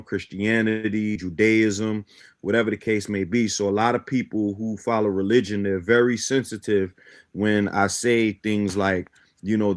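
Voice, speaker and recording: A man from Guyana who grew up in Brooklyn, explaining his ideas in a didactic way as a talk show guest. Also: fairly low pitch. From born in Guyana, grew up in Brooklyn, New York. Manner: didactic, often checks in with "right?". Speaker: guest, teacher of a belief system.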